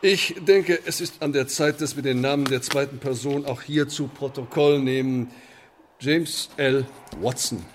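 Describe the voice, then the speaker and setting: An actor in a radio play voicing a pompous, pedantic sheriff who interrogates a suspect in stiff bureaucratic language. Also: male voice, mid-range voice, unhurried, slight background noise, lightly acted. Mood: accusing, officious.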